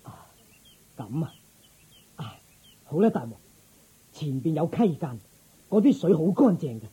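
Deferential husky royal guard, Cantonese. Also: male voice, a little high, sing-song, a little slow, mellow, exaggerated voice. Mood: eager, obliging. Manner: deferential, formal. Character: respectful, attentive male royal guard and attendant to a king. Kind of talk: Cantonese radio drama acting.

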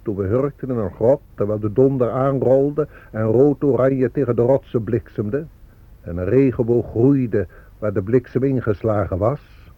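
An actor plays a homesick, proud man in a radio drama. His voice is husky, and the sound is narrow and echoing. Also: mid-range voice, moderate pace, natural voice. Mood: wistful. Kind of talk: radio play dialogue and poetic monologue. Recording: muffled, roomy radio play recording.

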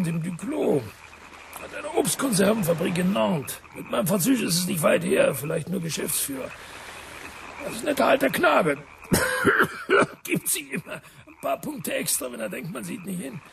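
An actor playing a gruff, chatty old British major who talks in clipped bursts, with a cough, in a radio drama. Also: male voice, sits high, fairly bright tone, heavily acted. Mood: affable, winding down. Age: older. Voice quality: frequent coughing, damaged breath. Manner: clipped telegraphic sentences, military bluffness.

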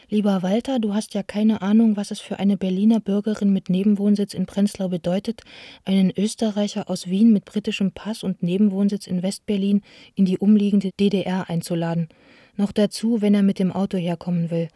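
A woman's voice: medium pitch, mellow, dry recording, clean studio sound, lightly acted. An actress playing a candid, wounded young woman of the theatre, speaking her letters in an intimate, restrained way for a radio play. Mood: wry, friendly. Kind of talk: radio play monologue, letters read aloud.

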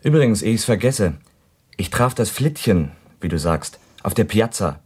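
An actor playing a breezy, needling grown son in a radio drama, with a casual delivery. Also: male voice, lowish voice, big pitch swings, moderate pace, breathy edge. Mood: offhand, teasing. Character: breezy, offhand grown son leaving home for the evening. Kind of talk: naturalistic radio drama acting.

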